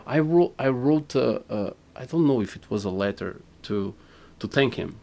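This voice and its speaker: A man's voice: mid-range voice, expressive, normal speed, gravelly, a little mellow. A Portuguese-accented man, a native Portuguese speaker, hosting a casual podcast interview in English, often repeating words as he searches for them. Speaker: podcast host.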